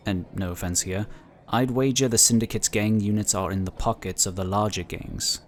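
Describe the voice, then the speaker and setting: A man acts out a male inquisitor from a sci-fi audio drama. His voice sits on the low side. He speaks in measured, articulate phrases with dry understatement and a cautious, protective streak. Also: close to an everyday voice.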